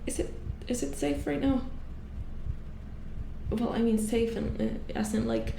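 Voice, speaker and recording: Slow, hushed, anxious female engineer played by a woman. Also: a little high, wildly swooping pitch, roomy sound, slight background noise, heavily acted, low-quality recording. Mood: nervous, deferential. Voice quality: soft, breathy, often whispered. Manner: halting, stammering, repeating words. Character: anxious female robot repair engineer, alarmed and secretive. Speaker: ASMR performer. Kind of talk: soft-spoken ASMR horror roleplay.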